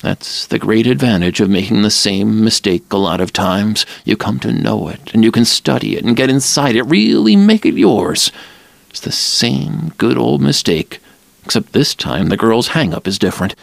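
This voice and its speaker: A man's voice: medium pitch, very animated, unhurried, vocal fry, no room sound, heavily acted. A man voicing a weary, rueful young man.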